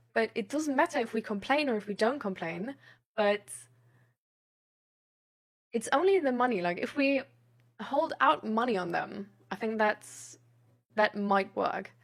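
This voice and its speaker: High-voiced woman chatting casually. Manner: informal, thinking aloud, frequent "like". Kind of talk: casual rambling chat.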